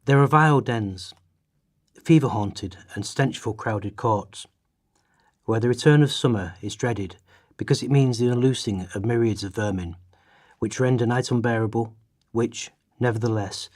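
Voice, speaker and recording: A man playing a grave writer from past centuries, giving a measured, formal dramatised reading in a radio play. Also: lowish voice, no room sound, clean studio sound, close to an everyday voice. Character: grave male social writers of past centuries chronicling poverty.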